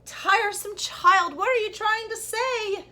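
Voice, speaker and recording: A woman's voice: very high voice, unhurried, pushed and bright, voice pushed up, full character voice. A woman doing a haughty, scolding mother. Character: stern, proud mother, impatient with her son.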